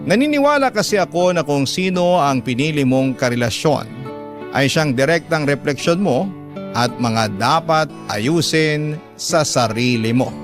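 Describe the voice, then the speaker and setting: A man narrates a radio love story as host, his voice a little high for a male. The delivery is scripted and sentimental, with guitar music clearly playing behind him. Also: animated, unhurried.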